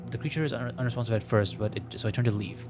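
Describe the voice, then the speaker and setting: A man doing a casual game playthrough, reading the story text aloud in a halting way, stumbling over words. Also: mid-range voice, over music. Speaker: streamer playing a visual novel.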